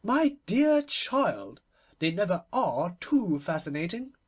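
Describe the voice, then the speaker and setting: A man's voice: high voice, sing-song, takes it slowly, breathy edge, full character voice. A man voicing an imperious, chattering society hostess in an audiobook, emphatic and airily opinionated. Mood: eager, emphatic. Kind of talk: literary audiobook character voice.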